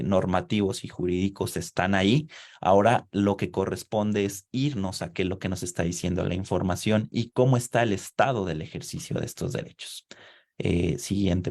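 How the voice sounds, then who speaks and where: A man giving an academic research presentation at an online seminar, speaking haltingly with frequent filler sounds and restarts. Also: low voice, a little mellow. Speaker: conference presenter.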